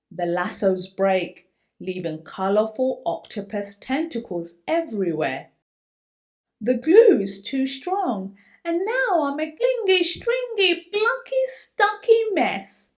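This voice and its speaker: A woman reads a children's story in a high voice. Her delivery is slow and highly animated, with theatrical emphasis. She stretches out key words as she tells the tale. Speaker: children's book reader. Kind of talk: expressive picture-book read-aloud, voicing a young boy's first-person story.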